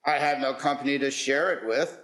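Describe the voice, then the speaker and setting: An actor playing a gentle, grateful elderly widower in a radio play, polite and earnest. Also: male voice, mid-range voice, steady tone, brisk, echoey room, close to an everyday voice. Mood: wistful.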